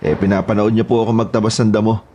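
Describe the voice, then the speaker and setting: A man's voice: lowish voice, level tone, quick pace, muffled recording, background noise, close to an everyday voice. A man playing a polite, obedient houseboy and gardener who speaks deferentially in an audio horror drama. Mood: respectful, eager. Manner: deferential, eager to report.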